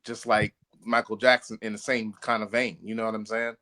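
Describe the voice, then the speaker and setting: A man's voice: fairly low pitch, little pitch movement, fairly quick, bright, forceful tone. A man co-hosting a podcast in casual, friendly debate, using slangy, sometimes profane speech and repeating phrases for emphasis. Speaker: podcast co-host.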